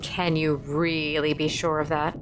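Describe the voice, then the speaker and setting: An actress in a sci-fi audio drama playing a cautious, doubtful time-travel companion. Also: female voice, deep voice, level tone, measured pace. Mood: worried. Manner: cautious, questioning. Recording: noisy with swamp ambience.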